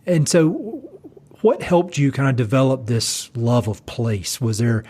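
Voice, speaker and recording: A man from Eastern North Carolina hosting a podcast, asking earnest, halting questions with restarts. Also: medium pitch, sing-song, mellow, breathy edge. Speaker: podcast host. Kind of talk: casual interview conversation.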